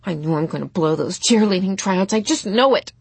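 A woman's voice: medium pitch, animated, a little fast, vocal fry, breathy edge, no echo. A woman voicing a self-absorbed, melodramatic teenage girl in a storybook, emphatic and repetitive. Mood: self-important.

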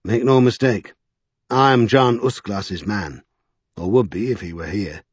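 A man's voice: fairly low pitch, a little slow, dull audio, a little hiss or hum, lightly acted. A man voicing a frowning, loyal, defensive manservant in an audiobook. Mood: stung, defensive.

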